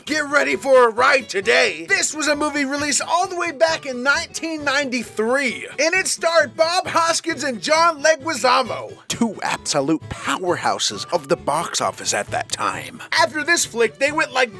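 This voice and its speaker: A man with an unusually high, bright voice delivers a comedic movie review. He is sarcastic and exaggerated, with casual slang, over background music. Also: big pitch swings, normal speed, gravelly, few pauses. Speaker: video host, movie reviewer.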